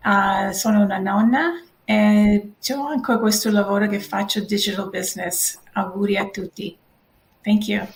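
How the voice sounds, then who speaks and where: An older woman from Canada, a grandmother, introducing herself in a relaxed, friendly way. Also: mid-range voice, normal speed. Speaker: panelist. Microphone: video call. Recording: roomy echo.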